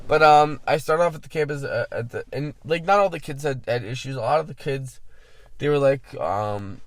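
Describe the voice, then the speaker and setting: Man, interviewer, middle-pitched, rambling, filler-heavy casual chat. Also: moderate pace, dry recording. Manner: rambling, many fillers and restarts. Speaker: interviewer host.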